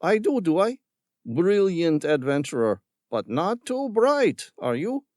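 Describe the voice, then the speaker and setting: A man reading an audiobook puts on a greedy villain with a gun, sarcastic and patronizing, with an affected Spanish-tinged lilt. The voice sits well above the reader's own and swoops lively as it mocks. It is recorded close and dry. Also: high voice, slow pace, no echo, pitched above the performer's own voice, full character voice. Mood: mocking. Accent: affected Spanish-tinged accent. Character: sneering, greedy male villain caretaker with gun. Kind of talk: audiobook character voice.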